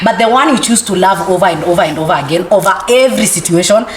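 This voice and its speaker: A woman's voice: fairly low pitch, fast, slight background noise. A woman argues her point in a casual podcast debate, firm and earnest. Her voice rings in a roomy, echoing space. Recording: roomy echo.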